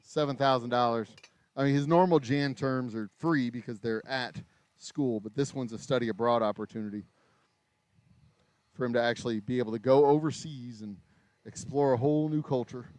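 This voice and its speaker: A man in his forties with an American accent, hosting a casual livestream from his small distillery while he works, his voice a little raspy after hours of talking. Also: mid-range voice, moderate pace, darkish tone, no room sound. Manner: rambling, self-interrupting, mild swearing. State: voice getting raspy from long talking. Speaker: distillery owner and livestream host. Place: small craft distillery shop.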